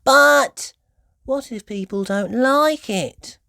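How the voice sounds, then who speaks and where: A woman's voice: on the high side, slow, gravelly, sharp tone, dry recording, clean studio sound, pitched above the performer's own voice, heavily acted. A woman voicing an earnest, eager little girl piglet in a children's storybook. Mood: eager, questioning.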